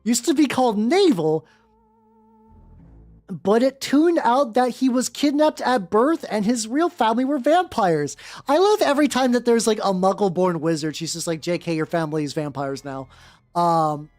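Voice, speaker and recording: A woman's voice: on the high side, sing-song, moderate pace, darkish tone. A woman livestreamer reading fanfiction aloud and breaking into informal, sweary, rambling asides. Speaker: livestream host, VTuber. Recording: music playing behind the voice.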